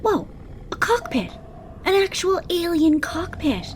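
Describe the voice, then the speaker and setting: A woman's voice: extremely high pitch, very animated, unhurried, a little hiss or hum, exaggerated voice. A woman playing a curious, bold young boy in a comic audio drama, eager and matter-of-fact. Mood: excited curiosity.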